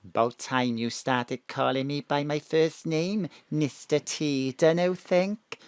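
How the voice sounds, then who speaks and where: A fond mam. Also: female voice, deep voice, slow pace, darkish tone, clean tone, no echo. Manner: affectionate, teasing.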